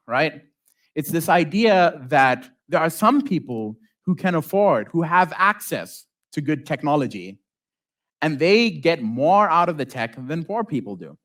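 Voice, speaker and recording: A man who is a native Arabic speaker giving an informal conference talk as a software developer, with joking asides and check-ins to the audience. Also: fairly high pitch. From lives in South Africa.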